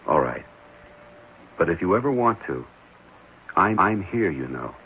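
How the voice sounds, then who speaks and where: An actor playing a gentle, earnest married foster father in a 1970s radio drama, speaking hesitantly and reassuringly. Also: low-pitched, sing-song, measured pace. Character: gentle, caring married man fostering an orphan boy. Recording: muffled, noisy old radio broadcast.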